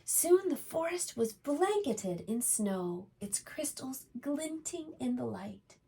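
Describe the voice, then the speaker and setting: Lady doing preschool storytime, slow pace, bit of creaky voice, expressive, asks the kids stuff. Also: high-pitched. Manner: expressive, asks the child listeners questions. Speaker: preschool teacher and storytime host. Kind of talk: read-aloud storytelling for young children.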